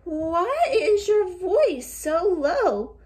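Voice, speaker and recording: Storybook child played by a woman: wary eldest sister, high and loud, full of pointed questions. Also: big pitch swings, very slow, exaggerated voice. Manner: emphatic, questioning. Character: eldest young daughter, wary and questioning, calling out.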